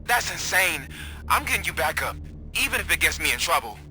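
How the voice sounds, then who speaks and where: Anxious, higher-voiced police colleague. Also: male voice, big pitch swings, fairly quick, sharp tone, full character voice. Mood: worried. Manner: worried, questioning. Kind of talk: video game cutscene acting. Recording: music behind the voice.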